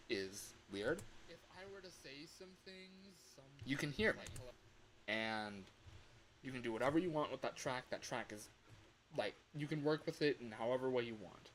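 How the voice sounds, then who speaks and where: A man's voice: mid-range voice, a bit bright. Chatty man livestreaming, noticeable background noise. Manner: chatty, rambling, informal. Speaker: livestream host. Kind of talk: casual livestream tutorial. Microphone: microphone on a home streaming setup.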